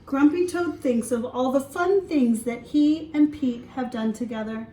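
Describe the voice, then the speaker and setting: Woman, children's read-aloud, high pitch, expressive teacher, questioning the listeners, a bit far from mic. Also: a little slow, echoey room, slight background noise. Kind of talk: read-aloud storytelling for preschoolers.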